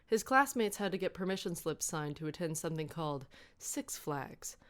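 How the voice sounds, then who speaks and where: Low-pitched woman narrating a comic story. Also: animated, moderate pace, a bit bright. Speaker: narrator reading fan fiction.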